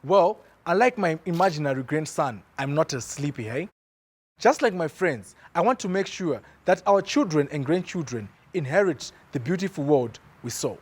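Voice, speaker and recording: A man's voice: fairly high pitch, lively intonation, moderate pace, breathy edge. A young man from Zimbabwe presenting a youth climate series in a playful, upbeat scripted way. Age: young adult.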